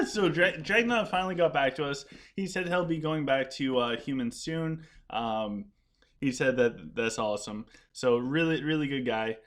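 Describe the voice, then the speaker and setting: A man streaming a strategy game, talking casually as he plays and answers chat. His delivery rambles with lots of restarts, and his voice is wearing out and getting rough. He sits at a middle pitch for a man. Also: measured pace, a bit bright. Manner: chatty, rambling, frequent restarts while playing. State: losing his voice. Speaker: gaming live streamer. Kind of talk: casual live-stream commentary.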